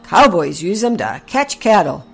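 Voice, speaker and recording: A patient, folksy male horse from a storybook, put on by a woman. He coaches like a kindly cowboy mentor, in a lively raised voice with a husky edge. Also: fairly low pitch, measured pace, soft background music, exaggerated voice. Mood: instructing.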